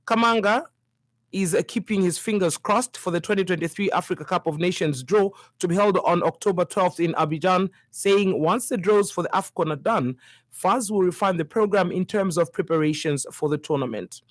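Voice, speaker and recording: A woman's voice: fairly low pitch, moderate pace, no echo. A woman with a Zambian accent presenting a scripted radio news bulletin.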